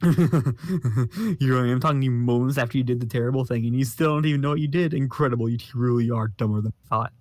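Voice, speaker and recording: A man's voice: medium pitch, fast, a little mellow, dry recording, close to an everyday voice. A man voicing an abrasive, foul-mouthed young male troll who rants pompous insults, reading a comic aloud. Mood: scornful. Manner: insulting, ranting, pompous wordplay. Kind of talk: comic read-along voice acting.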